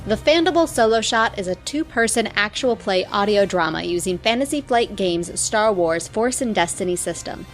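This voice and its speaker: A woman reading trailer narration in a crisp, steady promotional voice. Faint music runs behind her. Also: mid-range voice, normal speed, few pauses.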